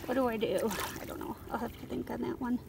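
A high-voiced woman chats casually to her viewers as she walks a beach, a little gravelly at the edges. Wind and waves are plainly heard behind her on a windy outdoor phone recording. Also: fairly even intonation, quick pace. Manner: chatty, musing aloud to viewers. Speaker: vlogger. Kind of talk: casual narration while rockhounding.

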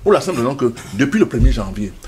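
A man's voice: medium pitch, very animated, fast talker, darkish tone, a little hiss or hum. An older man speaking as a studio guest in a passionate debate, emphatic and stammering on repeated words.

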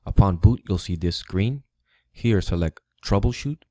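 A man narrates a computer how-to in a plain, instructive way, pausing now and then with an um. His voice sits very low and sounds dark and relaxed. The recording is dry, close on a desk microphone. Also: dry recording. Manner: plain, instructive, slightly halting. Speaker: tutorial host. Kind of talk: step-by-step tech tutorial voice-over. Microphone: USB studio microphone.

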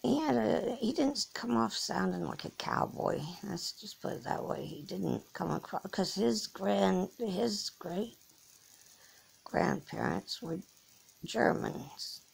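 A middle-aged woman from Norfolk, England, with an English accent tinged with American Southern, rambling slowly and haltingly while lying in bed and talking into a phone held close. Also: medium pitch, expressive, vocal fry, slightly rough. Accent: English with some American Southern. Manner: slow, halting, many restarts and fillers. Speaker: vlogger. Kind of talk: rambling personal monologue. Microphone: phone held close above the face.

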